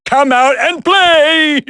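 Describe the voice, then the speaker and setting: A man's voice: extremely high pitch, very slow, pushed and bright, no echo, clean studio sound, exaggerated voice. A man voicing a gleeful, sadistic, childishly taunting video game villain with a cackling, singsong delivery. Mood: manic glee.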